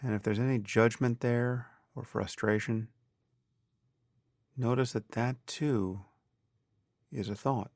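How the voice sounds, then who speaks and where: A man guiding a meditation as a teacher, speaking calmly and deliberately in measured phrases with pauses. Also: mid-range voice, dry recording, clean studio sound. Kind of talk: guided meditation narration.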